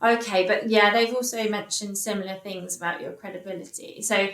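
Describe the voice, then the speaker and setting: A woman's voice: mid-range voice, fairly even intonation, a bit bright. Woman telling a story in a roomy echo. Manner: halting, many restarts and fillers, quotes others. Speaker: podcast guest. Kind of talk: candid interview storytelling. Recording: echoey room.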